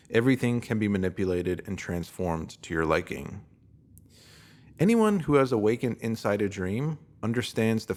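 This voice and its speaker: A man reading a scripted self-help piece as narrator, in a measured, instructive way like a guided meditation. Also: lowish voice, a little mellow.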